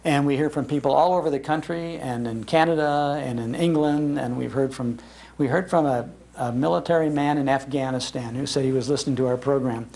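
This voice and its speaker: A man's voice: medium pitch, fairly quick, gravelly, a little mellow. An American man in his sixties, a radio host giving an informal talk to an audience. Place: public library meeting room.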